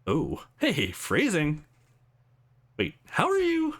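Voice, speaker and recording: A man's voice: on the high side, huge pitch swings, slow, fairly bright tone, exaggerated voice. A man voicing a wisecracking, outmatched male hero from a visual novel in a wry, sarcastic, casual way. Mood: exasperated, joking.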